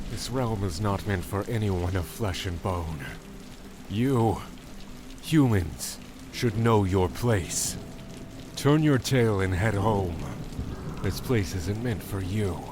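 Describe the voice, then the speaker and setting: Very deep, brooding dark god. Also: male voice, noisy background. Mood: scornful, threatening. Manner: grave, deliberate, theatrical. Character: brooding male dark god, creator and father, enthroned. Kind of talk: dramatic first-person audio drama narration. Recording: loud music under the voice.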